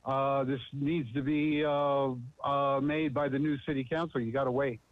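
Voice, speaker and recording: A man's voice: medium pitch, flat delivery, moderate pace. A man calling in to make a blunt public comment, repeating his slogan with many fillers. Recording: muffled phone line.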